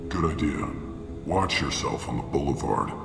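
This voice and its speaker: An actor playing a blunt mercenary logistics officer who briefs new hires in a matter-of-fact way. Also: male voice, very deep voice, lively intonation, moderate pace, gravelly, exaggerated voice. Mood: briefing. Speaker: mercenary recruiter. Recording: echoey game mix with music.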